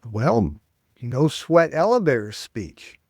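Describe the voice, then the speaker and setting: An older American man, a public speaking coach, chatting as an interview guest and telling stories with lively quoted voices. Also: fairly high pitch, slow pace, creaky, no echo, studio quality. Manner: animated storyteller, acts out quoted voices. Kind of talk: casual interview chat.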